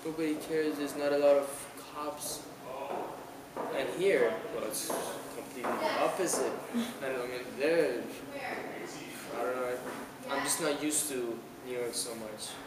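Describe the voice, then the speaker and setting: Young guy from iran being interviewed outside, mid pitch, kinda far from the mic, lots of background noise. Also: unhurried. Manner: hesitant, many restarts and fillers. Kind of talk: casual street interview. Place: outdoors on an island, city nearby. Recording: roomy, noisy outdoor camera audio from a distance.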